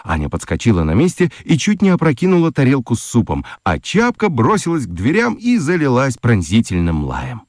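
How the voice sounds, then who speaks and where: Guy narrating a kids radio story, low voice that swings all over, dry close sound. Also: fairly quick, hardly any pauses, dry recording. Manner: expressive storytelling. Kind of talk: scripted narration for children's radio.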